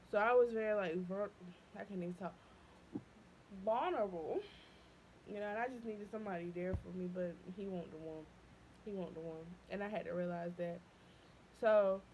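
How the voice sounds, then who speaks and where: A young woman, telling a personal story to camera in a casual, animated way, jumping high when she quotes herself and dropping low and quiet when upset. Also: slight background noise, rough recording. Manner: animated, voice leaping high when quoting her past self. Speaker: vlogger.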